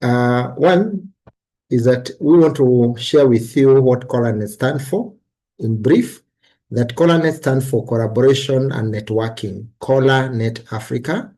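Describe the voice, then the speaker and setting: Male moderator, hesitant delivery with fillers, mellow dark tone. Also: medium pitch, measured pace. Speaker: session moderator. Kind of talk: online seminar moderation.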